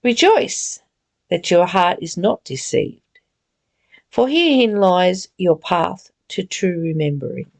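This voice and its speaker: A woman reads and reflects as a spiritual teacher, earnest and deliberate. Her pitch moves widely and expressively, with a gravelly creak in the voice. She takes her time, gently exhorting the listener at a slow pace. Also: lively intonation. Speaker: reader and spiritual study teacher. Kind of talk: read-aloud spiritual text with reflective, informal commentary.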